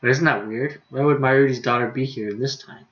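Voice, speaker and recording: A man livestreaming a visual novel, reading the dialogue aloud haltingly with stumbles and self-corrections, and adding casual commentary. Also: medium pitch, highs cut off, background noise.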